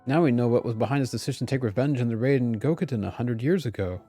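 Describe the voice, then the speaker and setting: Laid back guy streamer, dark mellow voice, musing over gameplay, music behind. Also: medium pitch, quick pace. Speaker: game streamer. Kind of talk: casual live gameplay commentary. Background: game music.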